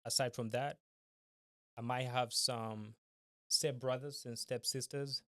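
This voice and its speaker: Polite young man from Kenya, flat delivery. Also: mid-range voice, no room sound, studio quality. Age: 20s. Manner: polite and formal, measured. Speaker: podcast guest. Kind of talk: casual interview.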